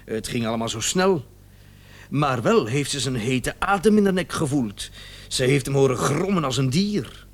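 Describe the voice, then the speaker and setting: Radio drama doctor: medium-pitched, sardonic and self-satisfied, theatrical delivery with drawn-out, ironic emphasis. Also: male voice, expressive. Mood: dramatic, ironic. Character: smug, talkative doctor, bitterly sarcastic about his unfaithful wife. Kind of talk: Dutch radio play acting.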